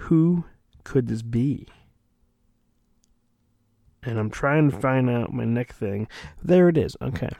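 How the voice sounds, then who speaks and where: Slow, theatrical man reading with lively swings. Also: mid-range voice, vocal fry, dry recording. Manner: theatrical, self-mocking, often breaks off to mutter. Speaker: podcast host and reader. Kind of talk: mock-dramatic storybook reading with joking asides.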